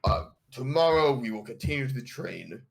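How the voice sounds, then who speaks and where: A man improvising in-character tabletop roleplay, speaking in a halting, stammering and rather formal way. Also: medium pitch, expressive, creaky.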